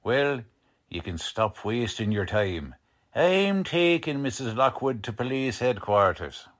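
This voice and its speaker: A gruff, streetwise lieutenant from a 1940s radio crime drama, acted by a man. He drawls out tough-cop slang slowly and bluntly, with very animated intonation. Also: medium pitch. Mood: impatient, gruff.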